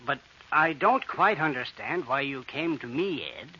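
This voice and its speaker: A man's voice: a little high, animated, unhurried, pushed and bright, full character voice. An actor playing a wealthy, evasive businessman in a 1940s radio drama, hemming and patronizing. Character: wealthy, evasive, stingy businessman and old friend. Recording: dull, hissy old radio recording.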